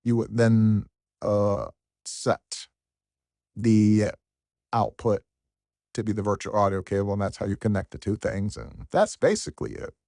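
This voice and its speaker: A man giving an informal, unscripted software tutorial, rambling a little and thinking aloud. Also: on the low side, measured pace, long pauses, no echo, studio quality. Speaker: tutorial presenter.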